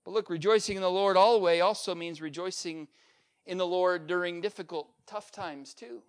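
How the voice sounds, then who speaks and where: A male pastor preaching a sermon, building up to a repeated refrain and swinging between loud peaks and quiet, low asides. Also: moderate pace, clean tone. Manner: emphatic preacher with swings in pitch and loudness, repetitive refrain.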